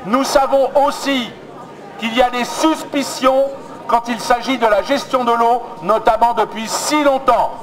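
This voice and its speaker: A man speaks as a protester at a public meeting, his voice pitched very high. He is insistent and accusatory, with a crowd clearly audible behind him. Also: measured pace, rough recording. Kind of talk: activist speech.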